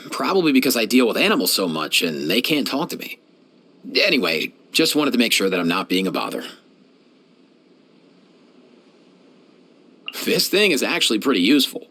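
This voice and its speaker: A man's voice: medium pitch, big pitch swings, fast, a bit bright. A man voicing a young, laid-back and caring animal doctor for a fantasy video game, speaking in a friendly, casual way. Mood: earnest, gentle. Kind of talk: scripted video game character voice lines.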